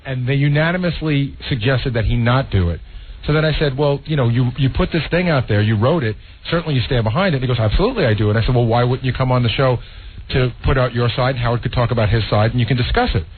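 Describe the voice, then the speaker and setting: A man's voice: medium pitch, quick pace. A man, a radio show staffer, casually recounting things on air. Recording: tinny narrowband old radio recording, faint hiss.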